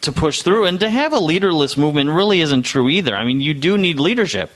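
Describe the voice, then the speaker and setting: A man's voice: on the high side, big pitch swings, fast talker, fairly bright tone. A man hosting an interview, asking questions in an upbeat, conversational way. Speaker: show host.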